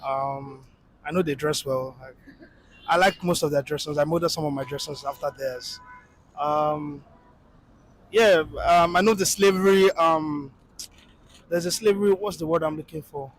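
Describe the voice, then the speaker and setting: A man's voice: on the high side, unhurried, long pauses, slight background noise, low-quality recording. A man with an African accent giving his opinion casually in an interview, hesitant and searching for words.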